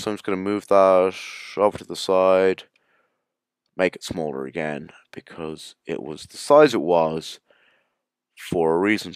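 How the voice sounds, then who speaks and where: A man presents a screencast tutorial in a low, very smooth and clear voice. He explains things informally, one step after another. The sound is dry and close, with no room echo. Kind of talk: casual screencast software tutorial narration.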